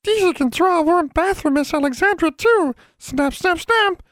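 A man's voice: very high voice, expressive, normal speed, a bit bright, no echo, clean studio sound, voice pushed up. A man voicing an eager, servile female magical servant creature in an audiobook, speaking deferentially in the third person.

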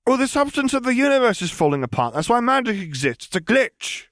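An odd, matter-of-fact little girl, put on by a male audiobook reader. The voice sits well above his natural pitch. She is blunt and self-assured, explaining things with deadpan certainty. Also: fairly quick, dry recording, studio quality, exaggerated voice. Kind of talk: audiobook character voice.

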